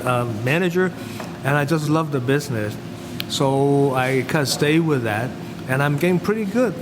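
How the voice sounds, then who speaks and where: Chef, middle-aged man, Hong Kong accent, informal hesitant delivery, recorded in a reverberant, noisy room. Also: medium pitch. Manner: rambling, hesitant, non-native phrasing. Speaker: chef and cooking teacher. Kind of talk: casual interview answers and explanation.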